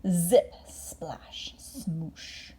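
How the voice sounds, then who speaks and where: A woman reading a children's bedtime story aloud expressively, drawing out words and dropping into hushed moments. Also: on the low side, animated, drawn-out words, husky, whispered. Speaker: storybook reader.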